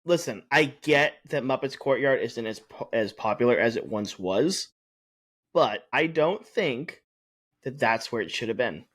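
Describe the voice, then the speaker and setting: A man's voice: mid-range voice, animated, unhurried, a bit bright. Man cohosting a podcast, blunt and opinionated, just getting over a cold. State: recovering from a cold. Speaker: podcast co-host. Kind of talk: casual chat.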